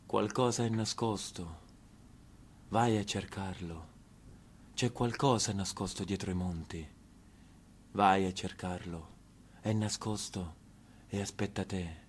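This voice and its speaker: A man voicing a mysterious inner voice that calls from the dark, beckoning the listener in a stage monologue. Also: deep voice, slow pace, pauses often, a little hiss or hum, pitched below the performer's own voice. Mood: hushed, beckoning.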